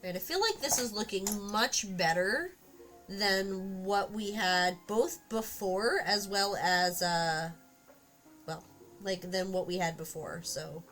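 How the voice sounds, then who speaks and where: A woman's voice: medium pitch, slow pace, fairly bright tone, soft background music, poor audio. A woman live-streaming her sculpting work, chatting casually to viewers in a congested voice from a cold, often trailing off with fillers. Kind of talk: casual live-stream chat while working.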